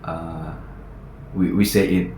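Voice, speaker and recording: A man from Indonesia with an Indonesian accent, a native Indonesian speaker, vlogging in English with halting speech full of fillers and restarts. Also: low-pitched, flat delivery, warm tone, a little hiss or hum. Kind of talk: casual vlog, practising English. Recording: echoey room.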